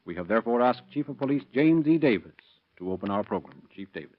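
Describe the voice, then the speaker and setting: A man's voice: mid-range voice, expressive, fast talker. A man announcing and narrating in formal, emphatic 1930s radio style on a muffled old recording. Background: music.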